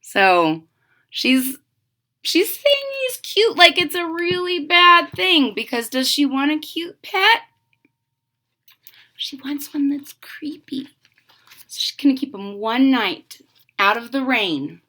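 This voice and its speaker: A woman's voice: high voice, very animated, slow pace, long pauses, pushed and bright. A woman reading a children's story aloud expressively as host, with chatty asides and questions to young listeners. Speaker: storybook reader and channel host.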